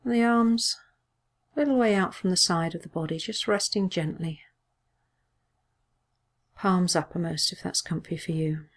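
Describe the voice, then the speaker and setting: Low-pitched woman guiding a gentle meditation. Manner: gentle, measured, soothing instruction with pauses. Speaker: mindfulness meditation teacher. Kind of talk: guided body scan meditation.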